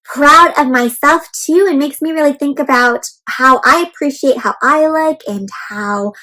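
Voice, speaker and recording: Woman, very high voice, animated children's read-aloud host, child-directed, questioning and exclaiming. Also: unhurried. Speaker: host reading a picture book aloud to children.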